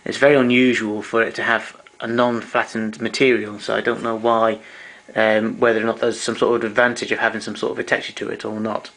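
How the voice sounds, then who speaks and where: A man giving an informal technical demonstration in a hesitant, halting way, as a hobbyist showing equipment. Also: medium pitch, fairly bright tone. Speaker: vintage tape recorder enthusiast demonstrating equipment. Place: indoors, small domestic room.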